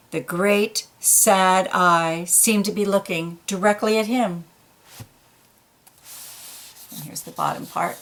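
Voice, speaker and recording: Storybook reader, woman, mid pitch, slow gentle delivery with soft asides. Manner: slow, gentle, pointing out pictures in quiet asides. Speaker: children's book reader. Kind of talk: reading a picture book aloud to kids.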